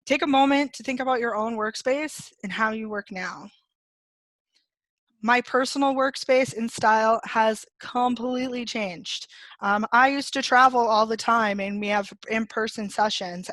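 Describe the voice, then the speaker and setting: A Canadian woman gives a friendly, explanatory web seminar over a video call. Her voice sits a little high. The sound is dry and close. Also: fairly even intonation, a little slow, fairly bright tone, dry recording. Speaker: webinar presenter.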